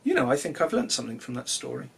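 A man in his thirties reading children's stories expressively, with deliberate dramatic pauses, recorded in a living room. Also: medium pitch, big pitch swings, gravelly, fairly bright tone, breathy edge. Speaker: children's storyteller. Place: small cluttered living room, indoors.

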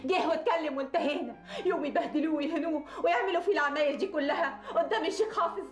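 An actress playing a headstrong Egyptian woman in a TV drama, her high voice racing as she defies her friend. Her words echo around the room with faint music behind. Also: racing, lightly acted. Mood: defiant. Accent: Egyptian Arabic. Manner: defiant, headstrong, protective. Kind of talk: TV drama acting.

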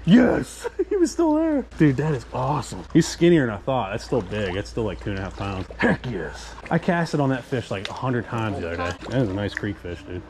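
A man chatting casually and excitably in slang as he hosts a fishing vlog outdoors on an action camera. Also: mid-range voice, a little fast, hardly any pauses, a crowd in the background. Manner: excitable, slangy, says dude and man often. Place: outdoors at a wooded creek. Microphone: action camera worn or held by him. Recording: outdoor recording with background noise.

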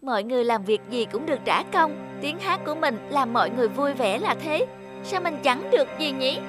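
A woman's voice: high-pitched, very animated, fairly quick. A woman voicing an earnest young female songbird in a children's cartoon, musing aloud expressively. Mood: discontented, fretful. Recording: loud children's music behind.

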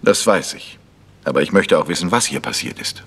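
An actor playing a calm, polite gentleman investigator in a German radio crime drama, asking insistent questions. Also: sits low, brisk, creaky, breathy edge, exaggerated voice. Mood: earnest, insistent.

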